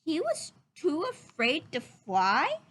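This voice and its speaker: A woman voicing a curious, anxious little boy raccoon in a storybook, with expressive questioning. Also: high-pitched, slow pace, voice pushed up, exaggerated voice. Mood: worried, curious. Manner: wide-eyed, expressive questioning. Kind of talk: storybook character voice.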